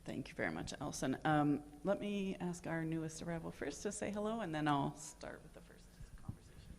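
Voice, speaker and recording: A woman's voice: low-pitched, animated, brisk, gravelly, a little mellow, slight background noise. A woman moderating a conference panel, hesitant and full of ums and restarts. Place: conference room with live and virtual audience.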